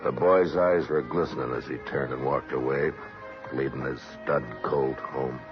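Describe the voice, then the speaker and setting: An actor playing a stern, weary frontier marshal with a wry, plain-spoken manner in an old radio western. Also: male voice, a bass voice, fairly even intonation, moderate pace, over music. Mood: reflective. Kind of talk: 1950s radio western acting. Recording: dull old radio recording, crowd noise.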